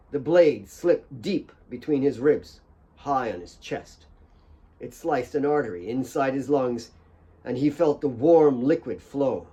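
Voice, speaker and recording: Mellow male audiobook narrator. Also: medium pitch. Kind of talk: dramatic scripted fiction narration.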